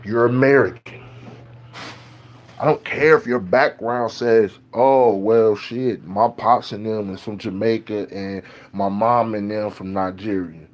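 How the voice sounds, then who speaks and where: A man's voice: on the low side, fairly even intonation, measured pace, lo-fi sound. Man ranting loose and slangy. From Ohio. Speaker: beatmaker talking to camera. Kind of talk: casual rant.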